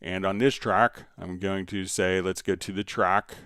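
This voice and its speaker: Low, smooth-voiced man giving a software tutorial. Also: steady tone, unhurried, dry recording, studio quality. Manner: step-by-step, informal explaining. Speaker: host of a music software live stream. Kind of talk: casual software tutorial, thinking aloud while demonstrating.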